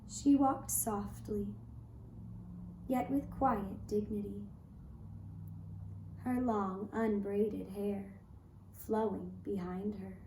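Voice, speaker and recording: A woman narrating a folk tale as a puppet show storyteller, with measured, deliberate phrasing. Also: mid-range voice, fairly even intonation, warm tone. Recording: roomy echo, faint background noise.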